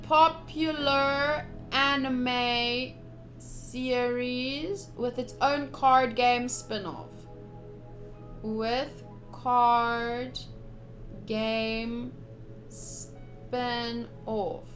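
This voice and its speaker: A young woman streaming a visual novel, reading the text aloud with casual, gushy, expressive commentary. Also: fairly high pitch, drawn-out words, long pauses, poor audio. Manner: expressive, gushy, slips into cute exclamations. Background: soft game music.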